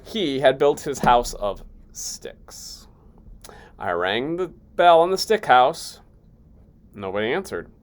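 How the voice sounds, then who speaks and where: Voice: medium pitch, sing-song, takes it slowly, long pauses. A person voicing a sly, self-excusing storybook wolf with a folksy, mock-innocent, theatrical way of telling. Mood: mock-innocent, confiding.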